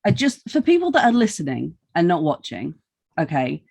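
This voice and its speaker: A woman's voice: fairly low pitch, huge pitch swings, moderate pace, vocal fry, darkish tone, slightly rough. A woman interviewing casually on a podcast, feeling her way through wordy questions.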